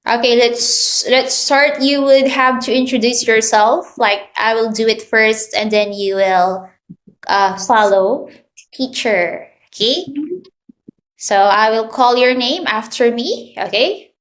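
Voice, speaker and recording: A woman's voice: a little high, slow, a bit bright. A woman from the Philippines teaching an online English class to children, asking patient prompting questions over a video call.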